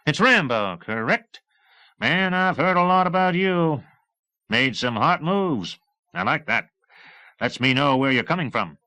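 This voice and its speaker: A cocky, chummy American chopper pilot in an audiobook novel, acted out by a male narrator. He pitches his voice well up and swings it around through breezy, slangy banter. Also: expressive, unhurried, pushed and bright, no room sound. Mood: breezy, excited.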